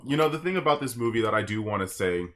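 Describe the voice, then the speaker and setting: Low-voiced man talking opinionatedly. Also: animated, quick pace, a bit bright, a little hiss or hum. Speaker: podcast host and artist. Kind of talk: casual group podcast discussion.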